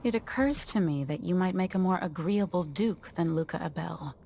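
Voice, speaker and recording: A woman's voice: on the low side, moderate pace, dark tone, slight background noise, natural voice. An actress playing a determined young royal woman in a video game, terse, controlled and businesslike. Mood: cool resolve. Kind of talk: video game cutscene acting.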